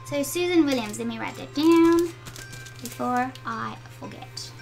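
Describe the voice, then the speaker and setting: Slow-talking South African woman artist. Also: high voice, expressive, darkish tone, faint music behind. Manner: chatty, reads viewer comments aloud while drawing. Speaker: art channel host. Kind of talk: live-stream drawing chat with viewers. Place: home art studio.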